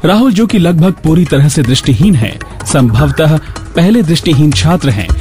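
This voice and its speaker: An Indian man hosts a radio programme from a script. His voice sits fairly high and moves in a very animated way, with music playing behind him. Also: moderate pace. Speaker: radio show host. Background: background music.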